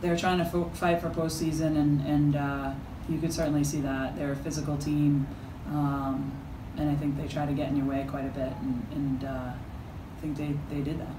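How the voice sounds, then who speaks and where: Woman coach, halting and filler-heavy, echoey press room, mic a few feet off. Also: deep voice, little pitch movement, distant mic, background noise. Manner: halting, many fillers and restarts. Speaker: head coach. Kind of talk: postgame press conference answers. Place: press conference room with backdrop. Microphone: table mic from a few feet.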